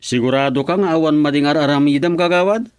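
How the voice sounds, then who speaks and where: A man's voice: a little high, fast talker, clear, resonant tone, no room sound. Stern Ilocano man as village chairman. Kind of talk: radio drama acting.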